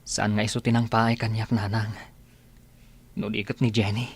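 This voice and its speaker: A man playing a downcast, lovesick young village son with a husky voice and an Ilocano accent in a radio drama. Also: on the low side, little pitch movement, normal speed. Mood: gloomy, lovesick. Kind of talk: comedy radio drama acting.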